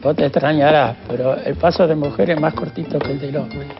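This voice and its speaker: An older man with an Argentine accent reminiscing in an interview in a halting, rambling way. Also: on the high side, fairly even intonation. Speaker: former radio sound effects worker. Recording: lo-fi with faint noise and clicks.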